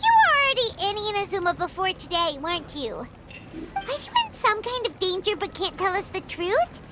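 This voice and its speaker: A woman's voice: very high-pitched, very animated, normal speed, over loud music. A woman voicing a tiny, chirpy and nosy female fairy companion in a video game, who talks about herself in the third person. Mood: accusing.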